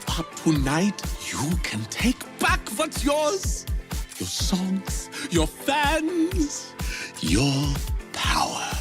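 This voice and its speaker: A woman playing a theatrical female manager who enforces contracts in a video game, speaking in a drawn-out, deliberate way. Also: medium pitch, wildly swooping pitch, heavily acted. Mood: sly, commanding. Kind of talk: video game character acting. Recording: loud music behind. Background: game music.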